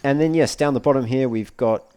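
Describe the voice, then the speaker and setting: A man's voice: medium pitch, a little fast, darkish tone, smooth. A man giving casual commentary on a video game walkthrough, thinking aloud as he reads through menus. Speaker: let's player.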